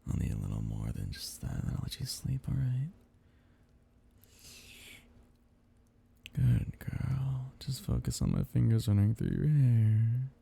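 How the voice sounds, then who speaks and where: Man acting as a husky, dark-voiced vampire count. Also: mid-range voice, wildly swooping pitch, normal speed, heavily acted. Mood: teasing, tender. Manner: soft, teasing, murmured endearments. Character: tender possessive vampire count soothing his captive. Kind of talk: intimate ASMR roleplay acting.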